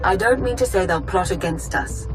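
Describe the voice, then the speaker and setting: A woman playing a composed, cautious adviser in a video game, speaking in a measured, formal way. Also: mid-range voice, lively intonation, natural voice, poor audio. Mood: measured concern. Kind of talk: video game cutscene acting. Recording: voice over background music.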